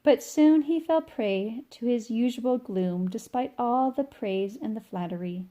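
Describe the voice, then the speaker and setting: A woman reading a rhyming children's picture book aloud in an expressive, sing-song way. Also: on the high side, a little slow. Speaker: storybook reader.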